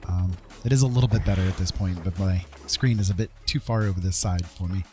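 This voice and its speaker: A man's voice: sits low, fast, dark tone, faint music behind. A man giving casual live gameplay commentary as a streamer, chatty and thinking aloud. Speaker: game streamer, host.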